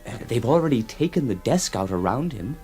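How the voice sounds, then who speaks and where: A man's voice: medium pitch, sing-song, fairly quick, mellow, faint music behind, lightly acted. A man voicing a fussy, deferential law clerk who keeps indignantly repeating himself in an audio drama.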